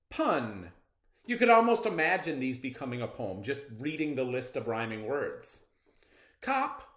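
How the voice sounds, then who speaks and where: Animated male teacher, unhurried and roomy. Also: medium pitch. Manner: expressive, instructive, emphatic phrasing. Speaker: elementary reading teacher. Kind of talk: poem read-aloud and lesson for children.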